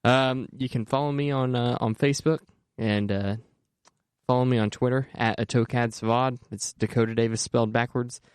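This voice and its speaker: A man's voice: medium pitch, moderate pace, clear, resonant tone, no room sound, clean studio sound. A man co-hosting a local podcast, chatting casually and loosely with filler words. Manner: relaxed, with filler "uh"s. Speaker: podcast co-host. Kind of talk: casual chat.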